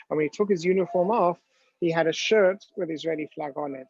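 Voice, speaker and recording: A man's voice: fairly high pitch, creaky, darkish tone, husky, no echo. A man giving a storytelling lecture with slides, talking conversationally with many ums and restarts, in a dull, dry lo-fi recording.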